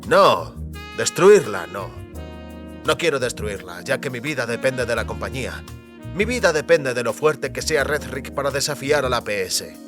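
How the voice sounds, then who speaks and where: An actor playing a wary, determined man trapped between powerful forces, speaking deliberately in an audio drama. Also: mid-range voice, expressive, over music, close to an everyday voice. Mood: urgent, determined.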